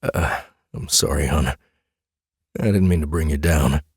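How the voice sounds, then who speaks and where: A man's voice: very deep voice, a little slow, slightly rough, no room sound, clean studio sound, pitched below the performer's own voice, exaggerated voice. A man voicing a mild, befuddled middle-aged husband and father in a fantasy audiobook, hesitant and earnest. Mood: overwhelmed, apologetic. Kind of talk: dramatic audiobook character dialogue.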